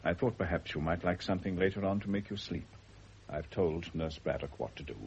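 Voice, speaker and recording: An actor playing a calm, probing doctor in a British radio drama, formal and deliberate in manner. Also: male voice, low-pitched. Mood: courteous, firm. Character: calm, probing male doctor with measured authority. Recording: dull, noisy old radio drama recording.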